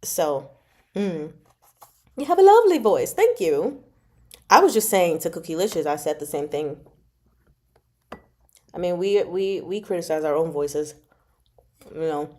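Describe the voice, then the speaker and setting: A woman with a chatty, giggly, expressive way of talking, casually hosting a livestream book club. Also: fairly low pitch, a little slow, pauses often. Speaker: host of a book club reading stream. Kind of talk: casual livestream chat about a novel.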